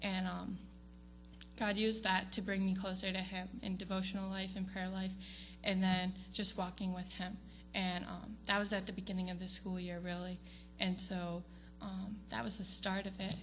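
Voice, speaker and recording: A young woman talks to a church congregation, stopping often on ums and restarting her sentences. Her voice is bright and pushes forward. A faint hum sits under the recording. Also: medium pitch, little pitch movement, normal speed. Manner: hesitant, many ums, laughs often. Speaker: student giving award speech. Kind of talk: casual speech to a church congregation. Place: church sanctuary.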